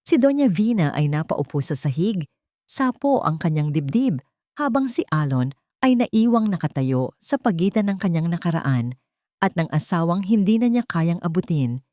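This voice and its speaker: A woman's voice: on the low side, big pitch swings, normal speed, dark tone, dry recording, studio quality. A woman reading scripted dramatic narration as a storyteller.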